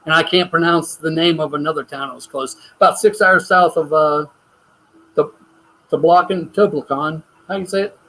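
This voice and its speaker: A man's voice: on the high side, fairly even intonation, normal speed, bright, forceful tone, low-quality recording. An American man with a self-described hillbilly twang, co-hosting an easygoing livestream chat. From United States. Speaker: co-host of a livestream.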